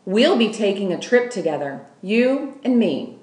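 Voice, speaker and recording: A woman reading a storybook as a loud, brash, bullying woman who talks in blunt shouts. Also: medium pitch, big pitch swings, unhurried. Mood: menacing. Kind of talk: children's storybook character voice. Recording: echoey room.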